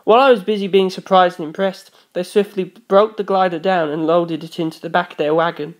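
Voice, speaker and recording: A woman's voice: on the low side, fairly even intonation, fairly quick. A woman reading scripted first-person story narration as an audiobook narrator.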